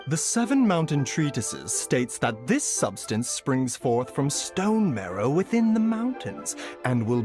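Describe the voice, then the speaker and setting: A man playing a courtly, wry, suave doctor in a video game, speaking theatrically. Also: medium pitch. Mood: learned, explanatory. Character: urbane male pharmacy doctor, suave, teasing and sly. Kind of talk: video game character dialogue. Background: game music.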